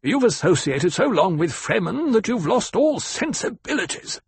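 A man voicing a haughty, resentful banker in an audiobook, with a rasping, stiff and pointed delivery. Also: on the high side, very animated, measured pace, dry recording, pitched above the performer's own voice, heavily acted. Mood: angry, scornful.

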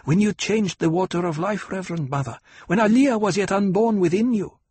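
A fierce, protective desert nursemaid who speaks loud and scolding in a raised voice, as a man narrating the storybook does her. Her tone is husky, and the reading is close and dry. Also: high voice, a little fast, dry recording, pitched above the performer's own voice. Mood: proud, insistent. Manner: forceful and scolding.

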